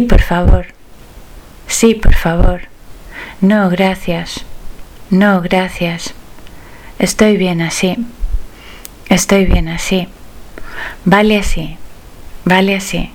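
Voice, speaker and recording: Slow, careful woman drilling phrases. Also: mid-range voice, darkish tone, poor audio. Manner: slow, careful, clearly enunciated repetition. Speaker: language teacher. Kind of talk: slow phrase drill, each line said twice.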